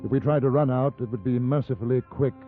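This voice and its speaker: An actor playing a resolute, reserved gentleman on the frontier, measured and understated, in a 1950s radio western. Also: medium pitch, close to an everyday voice. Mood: fatalistic narration. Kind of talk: 1950s radio western acting with first-person narration. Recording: muffled vintage radio recording with music.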